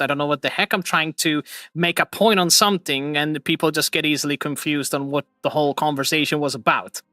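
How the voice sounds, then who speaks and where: A man chatting on a live stream with a bright, forward voice, drifting between thoughts and laughing at his own slips. The sound is dry and close, with faint music underneath. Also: fairly high pitch, no room sound. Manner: rambling, laughs often, self-mocking. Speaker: streamer host. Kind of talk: casual chat.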